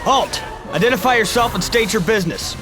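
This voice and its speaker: High-voiced earnest soldier. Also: male voice, lively intonation, fairly quick. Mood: formal, wary. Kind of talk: sci-fi game voice acting. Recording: music behind the voice.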